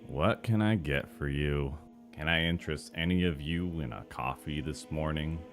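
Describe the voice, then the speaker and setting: A man voicing a depressed cafeteria worker in an animation, with a deep, gravelly voice and a weary, sighing delivery. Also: moderate pace. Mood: weary, resigned. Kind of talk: animated series voice-over takes. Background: background music under the voice.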